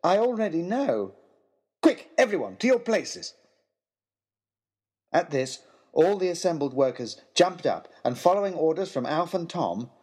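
A man narrating an adventure story in the first person with dramatic, theatrical expression. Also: mid-range voice. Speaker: audiobook narrator.

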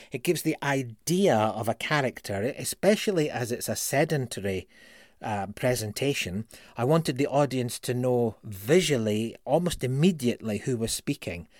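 Guy, solo performer, measured reflective talk, dead dry close mic. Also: mid-range voice, darkish tone, dry recording. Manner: measured, listing words one by one.

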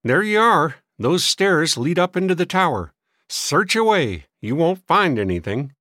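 A man voicing an irritable, suspicious old gentleman in an audiobook, testy and scoffing. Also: on the high side, sing-song, unhurried, no room sound, clean studio sound, voice pushed up, full character voice. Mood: dismissive. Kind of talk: audiobook character voice.

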